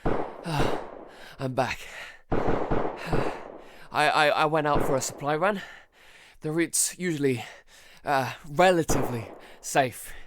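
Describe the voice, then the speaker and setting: A man playing a frightened, traumatised survivor in a halting, dramatic audio-drama monologue. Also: a little high, noisy background. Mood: shaken, breathless dread. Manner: halting, stammering, broken by sighs. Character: frightened, traumatised male survivor keeping a monster-apocalypse audio diary.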